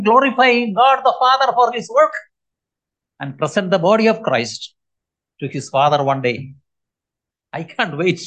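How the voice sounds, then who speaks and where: A man in his seventies preaching a sermon to a congregation, earnest and emphatic, with many pauses. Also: sits high, a bit bright, poor audio. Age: 70s.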